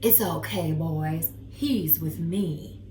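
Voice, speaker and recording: A woman voicing a suave, calm male dog who runs a club, speaking slowly and with emphasis in a storybook reading. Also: low voice, echoey room, slight background noise, pitched below the performer's own voice. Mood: calm, assured.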